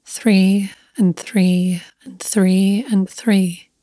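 A woman narrates a literary tale with slow, deliberate phrasing. Her delivery is hushed and stays within a narrow, even range of pitch. The recording is dry, with almost no room around the voice. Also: dry recording. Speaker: story narrator. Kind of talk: scripted literary story narration.

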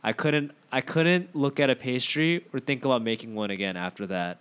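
A man hosting a casual podcast chat, teasing his guest with animated questions. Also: medium pitch, moderate pace, smooth. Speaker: podcast host.

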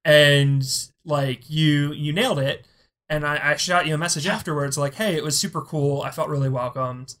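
A person speaking as a guest on a podcast, chatty and casual, filling their talk with "like". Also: male voice, a little high, normal speed, a bit bright. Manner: chatty, lots of "like" fillers. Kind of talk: casual conversation.

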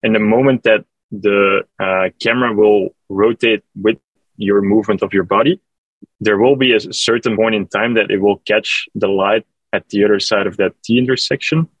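Male company rep, lower pitch, hesitant explainer, dry sound. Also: fairly even intonation, slightly rough, dry recording, dull audio. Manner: hesitant, halting with many fillers and restarts. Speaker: app company representative answering questions. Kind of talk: casual call-in Q&A.

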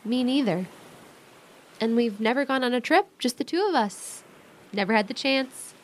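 A protective young American big sister, acted by a woman in a modern audio drama. Her voice sits high and swings animatedly, quick to flare up and full of devotion. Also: expressive, slight background noise, lightly acted. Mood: earnest, worried.

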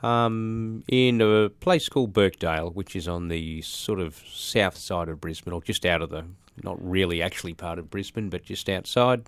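An Australian man in his forties, a musician chatting as an interview guest, halting with fillers and restarts. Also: deep voice, clear, resonant tone, dry recording. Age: 40s. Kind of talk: casual interview talk.

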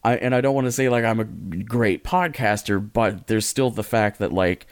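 A man's voice: fairly low pitch, normal speed, clean tone. A man co-hosting a podcast in casual chat, joking and rambling with frequent swearing. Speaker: podcast co-host.

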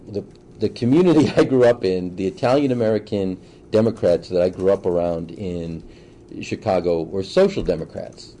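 A man in his forties from Boston giving thoughtful, halting answers as a political candidate in a conversational interview. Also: deep voice, dark tone. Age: 40s. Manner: halting, thoughtful, often pausing mid-sentence. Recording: faint background noise, room ambience.

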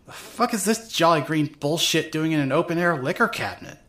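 Woman acting a tipsy, swearing young woman, very animated. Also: sits low, fairly quick. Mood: tipsy, rambling. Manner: rambling, drunken hesitations, sarcastic swearing. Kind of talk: first-person dramatic narration of a fan audio drama.

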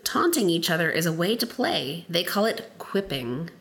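A woman's voice: very animated, normal speed, vocal fry, fairly bright tone, slight background noise. A woman co-hosting a casual podcast who reads aloud from a book with a cold, stumbling over words and giggling. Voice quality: deep voice from a cold. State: has a cold. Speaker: podcast co-host.

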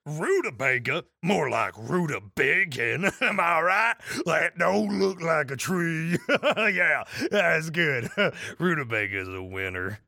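A man's voice: fairly high pitch, animated, slow, creaky, bright, forceful tone, no echo, clean studio sound, full character voice. A man playing a brash, self-amused wizard boss with a casual, dismissive manner in an audio drama. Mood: dismissive, blustering.

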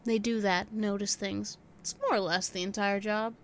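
An actress playing the detective's wisecracking assistant in an audio drama. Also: female voice, mid-range voice, fairly even intonation, normal speed, a little hiss or hum, lightly acted. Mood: teasing. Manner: teasing, sardonic interjections. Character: cheeky teasing sidekick assistant.

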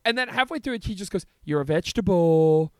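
Male podcast co-host, high and very lively pitch, jokey casual chat, laughs through his lines. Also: quick pace, clear, resonant tone, no echo, clean studio sound. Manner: jokey, laughs often while talking.

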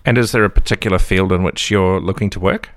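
A man's voice: sits low, steady tone, fast talker, a bit bright. A man hosting a radio interview show, welcoming listeners and asking guests questions. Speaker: host.

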